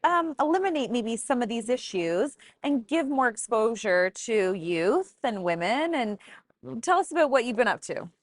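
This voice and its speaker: A woman's voice: a little high, very animated, normal speed, dry recording. A woman interviewing a guest, encouraging her with short affirming remarks and questions. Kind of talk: conversational interview.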